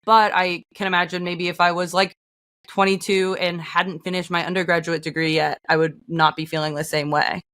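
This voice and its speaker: A woman's voice: lowish voice, flat delivery, moderate pace, fairly bright tone, no room sound, clean studio sound. Young woman, casual and chatty. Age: young adult. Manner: casual, frequent "like" fillers. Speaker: podcast co-host, daughter. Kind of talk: casual chat.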